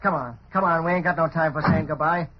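A man's voice: on the high side, fairly even intonation, slightly rough. An actor playing a bossy, impatient smuggler henchman who barks slangy orders, in old radio acting style. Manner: slangy, barking hurried orders. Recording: muffled, faintly noisy old radio recording.

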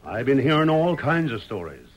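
A man's voice: medium pitch, animated, moderate pace, a bit bright, muffled recording, lightly acted. An actor playing a folksy, foreboding frontier townsman with drawling rustic speech, in old radio western style. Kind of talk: 1940s radio western acting.

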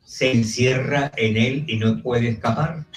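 Guy talking slow and halting, kinda formal, echoey and off mic at some book event. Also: mid-range voice, fairly even intonation. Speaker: participant questioning the author. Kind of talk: spoken remarks at a book presentation. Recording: roomy, distant mic, faint music.

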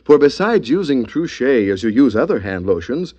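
Animated male vintage radio announcer. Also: medium pitch, normal speed. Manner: polished, emphatic salesman. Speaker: commercial announcer. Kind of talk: 1940s radio advertising pitch. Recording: dull, lo-fi old radio recording.

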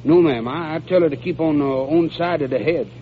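An actor playing a simple, protective country servant with folksy, ungrammatical speech, in 1940s radio style. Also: male voice, fairly high pitch, brisk, close to an everyday voice. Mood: disapproving. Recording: muffled, noisy old radio recording.

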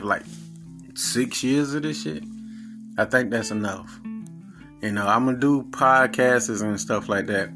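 A man in his forties with a Southern accent, a podcast host talking in a blunt, profane, earnest monologue. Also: mid-range voice. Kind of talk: casual monologue.

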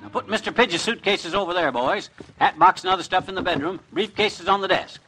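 An actor playing an eager, bumbling small-town cab driver in a 1940s radio comedy. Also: male voice, a little fast, over music, lightly acted, rough recording. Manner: eager, bumbling, wide pitch swings.

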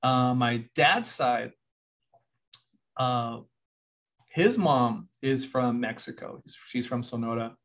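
A man's voice: mid-range voice, slow, pauses often, a bit bright. A man from California's central coast, a university professor and author, talking in a casual podcast conversation with many fillers and restarts. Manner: rambling, many fillers and restarts, laughs now and then.

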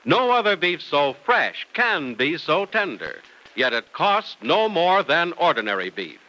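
A man's voice: on the high side, sing-song, measured pace, pushed and bright, poor audio. A man announcing and narrating in old-time radio style, with polished, emphatic salesmanship.

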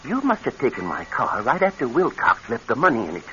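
Rattled crook, animated muffled voice. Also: male voice, mid-range voice, a little hiss or hum. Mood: bewildered. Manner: agitated, halting. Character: nervous, indignant male crook, easily rattled. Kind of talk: radio drama acting. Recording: muffled old radio show, faint music.

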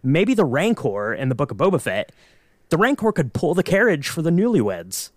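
A man's voice: a little high, sing-song, normal speed, dry recording. A man on a podcast panel, chatting casually in an easygoing, joking way. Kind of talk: casual chat.

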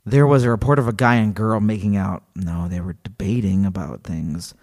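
A man co-hosting a podcast, chatting casually and reading a story aloud, animated and joking. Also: fairly low pitch, moderate pace. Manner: animated, joking, laughs often. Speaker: podcast co-host.

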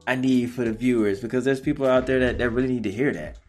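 A man's voice: medium pitch, fairly even intonation, quick pace, darkish tone, faint music behind. A man hosting a casual podcast interview, mostly giving short encouraging replies in laid-back slang. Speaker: podcast host.

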